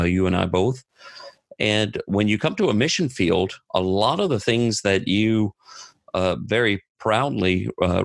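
Man, low voice, gravelly, earnest, preachy, many fillers, podcast co-host. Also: no room sound. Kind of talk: casual podcast conversation.

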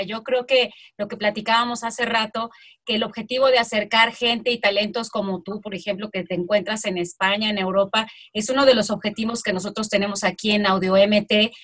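A woman's voice: medium pitch, steady tone, brisk, a bit bright. A woman with a Mexican accent co-hosting an interview in a conversational style. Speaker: interview co-host.

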